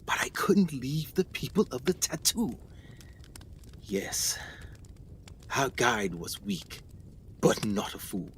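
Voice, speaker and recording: Man playing a slow, theatrical 1920s explorer. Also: mid-range voice, fairly bright tone, a little hiss or hum, full character voice. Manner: deliberate, theatrical, formal. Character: measured 1920s explorer telling his jungle tale. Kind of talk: dramatic radio play narration and dialogue.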